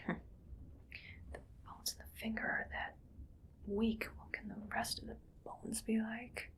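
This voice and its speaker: Female mad scientist for ASMR roleplay, acted by a woman: slow whisper, cold, detached, clinical muttering. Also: on the high side, level tone, fairly bright tone. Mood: detached, disappointed.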